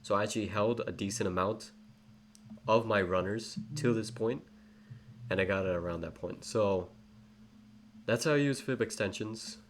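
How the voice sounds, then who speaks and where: Man, English accent, low voice, rambling tutorial delivery, slight background noise. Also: moderate pace, long pauses. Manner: rambling, self-correcting, many fillers. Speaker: trading educator. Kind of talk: live screen-share trading tutorial.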